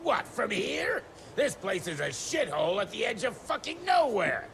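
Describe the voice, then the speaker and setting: A high, creaky-voiced official in a game cutscene, foul-mouthed and bullying. His delivery swings wildly in pitch as he sneers indignantly, with some noise behind him. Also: sing-song, moderate pace, a bit bright, exaggerated voice. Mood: furious. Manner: profane, sneering, indignant tirades. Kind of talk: video game cutscene acting.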